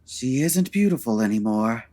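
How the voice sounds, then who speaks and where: An actor playing a suave, unflappable gentleman detective in an old-time radio style comedy, with dry, urbane, formal wording. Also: medium pitch, animated, moderate pace, natural voice. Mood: composed.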